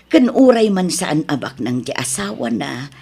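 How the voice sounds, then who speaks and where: A woman playing a modest, plain-spoken village woman in an audio drama, slightly husky, with an Ilocano accent. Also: fairly low pitch, sing-song, measured pace, darkish tone, exaggerated voice. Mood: chiding.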